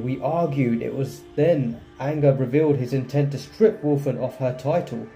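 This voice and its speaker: A man narrates gameplay and reads story text aloud. His voice is very soft-edged and dark at a medium pitch, with faint music behind him. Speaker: gaming video creator. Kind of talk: gameplay commentary and reading game text aloud. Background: game music.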